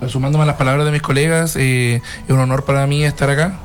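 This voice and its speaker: A man, a nurse, hoarse, speaking apologetically as a radio guest with fillers. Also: mid-range voice, steady tone, fast talker.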